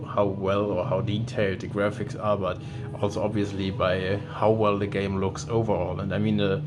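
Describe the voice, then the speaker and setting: A man's voice: lowish voice, background noise. Man commentating gameplay. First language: German. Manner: relaxed, with frequent restarts. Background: game music.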